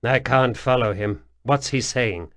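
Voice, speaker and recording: A man's voice: medium pitch, unhurried, a bit bright, dry recording. A man doing a lisping, dry young companion. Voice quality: slight lisp.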